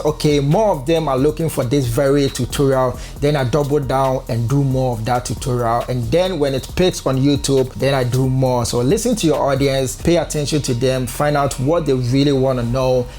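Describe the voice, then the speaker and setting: A man's voice: medium pitch, a little mellow. A young man from Ghana with a Ghanaian accent, earnestly giving advice to camera in a casual vlog. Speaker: content creator. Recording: faint background music.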